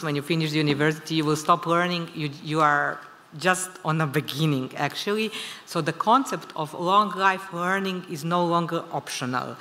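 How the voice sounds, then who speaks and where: Female conference speaker, 50s, Serbian accent. Sharp, forceful tone, urging delivery, reverberant hall. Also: low-pitched, slight background noise. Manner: emphatic, exhorting, addresses listeners directly. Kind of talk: motivational speech. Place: large indoor conference hall.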